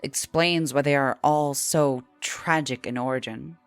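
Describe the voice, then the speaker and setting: Woman voicing a low, blunt, bossy furred alien. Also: unhurried, dry recording, faint music behind. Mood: dry, blunt. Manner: flat, brusque delivery. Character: blunt, bossy brown-furred female alien with dry humour. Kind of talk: audio fiction character acting.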